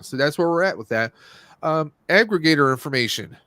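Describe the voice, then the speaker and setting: A man's voice: a little high, animated, brisk, a bit bright, dry recording. Man chatting casually, close dry sound. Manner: rambling, conversational, often circling back and rephrasing. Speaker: podcast host. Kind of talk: casual live-stream movie review.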